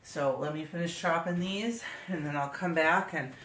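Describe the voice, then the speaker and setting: A woman's voice: low voice, normal speed, fairly bright tone. Stuffed-up woman chatting in a kitchen. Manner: chatty, thinks aloud with fillers. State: stuffed-up nose. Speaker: home cook vlogger. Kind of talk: casual kitchen demonstration. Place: home kitchen. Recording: echoey kitchen with background noise.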